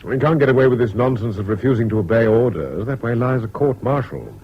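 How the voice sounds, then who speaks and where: Animated, authoritative military lawyer actor. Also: male voice, mid-range voice, fast, close to an everyday voice. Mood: brisk. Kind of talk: 1970s British radio drama acting. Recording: dull, noisy old radio recording.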